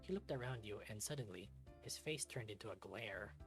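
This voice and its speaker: Casting: story narrator for a visual novel let's-read, medium pitch, expressive reading, music underneath. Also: fairly quick.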